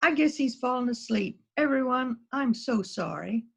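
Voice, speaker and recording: A woman's voice: fairly high pitch, lively intonation, moderate pace, vocal fry, breathy edge, full character voice. A woman playing a fretful, apologetic party hostess in an amateur radio-style play, with dull lo-fi sound. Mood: flustered concern. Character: polite flustered housewife hosting an anniversary party.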